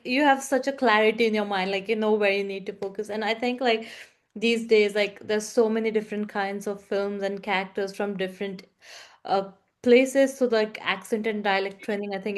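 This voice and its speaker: A woman interviewing a young actor, encouraging and chatty, using many fillers. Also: medium pitch. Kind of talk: casual interview chat.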